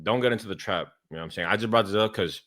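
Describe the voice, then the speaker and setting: A man's voice: on the low side, rapid-fire, sharp tone. A man hosting a podcast as a fitness coach, talking in a laid-back, slangy way. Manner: laid-back, slangy, says "bro" and "dude". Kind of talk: casual podcast conversation.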